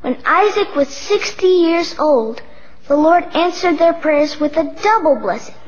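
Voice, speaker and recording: High-voiced woman narrating a children's Bible story. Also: lively intonation, measured pace, slight background noise. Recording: dull audio with music behind. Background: music.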